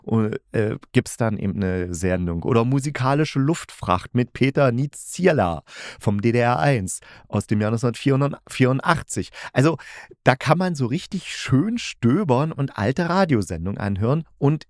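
A man's voice: mid-range voice, expressive, a little slow, darkish tone, dry recording, clean studio sound. A man hosting a casual review monologue, talking enthusiastically and in a rambling way as he searches for words. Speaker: podcast host.

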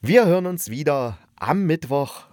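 A man's voice: on the high side, lively intonation, a little slow, a little mellow, dry recording, studio quality. A man hosting a casual review podcast, talking in a chatty, enthusiastic, colloquial way. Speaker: podcast host reviewing radio plays.

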